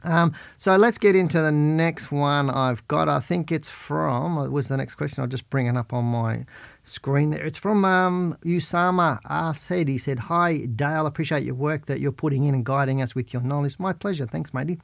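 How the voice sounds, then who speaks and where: A middle-aged man with a croaky voice from a head cold, hosting a podcast and casually answering listener questions while reading stock charts. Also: a little high, normal speed, no echo. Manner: chatty, plain-spoken, talks while reading charts. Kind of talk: casual market commentary.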